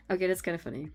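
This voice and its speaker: A woman's voice: lowish voice, dark tone. A young woman from the Netherlands with a Dutch accent, chatting casually with her stream while she draws, often laughing and trailing off mid-sentence. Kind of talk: casual chat with chat while drawing.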